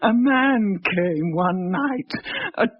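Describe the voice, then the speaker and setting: An actress playing a despairing young widow in a radio drama, plaintive and halting. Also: female voice, medium pitch, expressive, dry recording. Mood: sorrowful. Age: young adult. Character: possessed woman voicing a starving, despairing young English widow. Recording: dull, lo-fi old radio recording.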